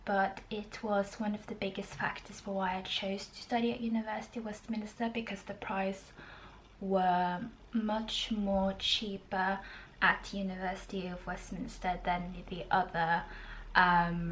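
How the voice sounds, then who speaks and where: A woman, giving a casual, informative vlog in a halting way with drawn-out pauses. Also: medium pitch, level tone, fairly bright tone, a little hiss or hum, rough recording. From Norway. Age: 20s. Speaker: student video blogger.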